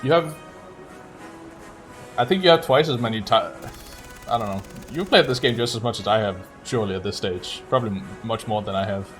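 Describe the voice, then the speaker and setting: A man's voice: on the low side, fairly quick. Man chatting casually over game music. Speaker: speedrunner streaming gameplay. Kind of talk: casual livestream gaming commentary.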